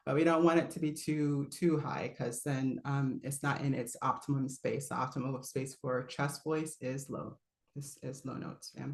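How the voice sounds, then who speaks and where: A relaxed woman with a low voice coaches singers in a live online class. She chats easily, laughs often and breaks off to demonstrate sounds. The recording has a roomy echo around her. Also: moderate pace, creaky. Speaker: voice teacher. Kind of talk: live online singing lesson.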